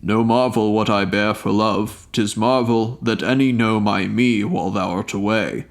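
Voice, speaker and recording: A man's voice: lowish voice, steady tone, a little slow. A man voicing a lovesick male ifrit spirit, speaking earnestly and formally in a storybook reading. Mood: lovelorn. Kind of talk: storybook reading of an old tale.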